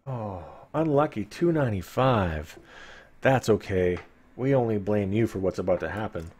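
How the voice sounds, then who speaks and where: A man's voice: on the low side, expressive, moderate pace, a little mellow, husky. A man streaming a live read-aloud of a fantasy gamebook, dramatic in the narration and informal in his asides. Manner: playful, informal, dramatic when reading.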